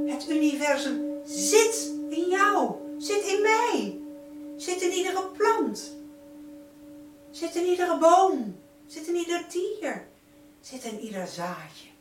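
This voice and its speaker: A woman giving a spiritual guided meditation in a solemn, incantatory manner, drawing out and repeating her phrases. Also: high voice. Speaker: spiritual teacher leading a light-circle meditation. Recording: roomy, distant microphone, noisy background.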